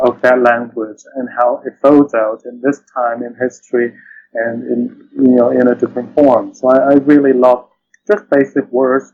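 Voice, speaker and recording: Halting, thoughtful man, dull lo-fi recording. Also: mid-range voice, a little mellow, slight background noise. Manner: halting, thoughtful, often says "you know". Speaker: interview guest, literary translator. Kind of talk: reflective interview conversation.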